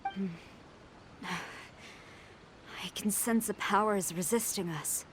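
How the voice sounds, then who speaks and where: An actress playing a stern, dutiful military commander in a video game, formal and composed. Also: female voice, mid-range voice, slight background noise, lightly acted. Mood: serious. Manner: formal, measured. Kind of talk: English video game voice acting.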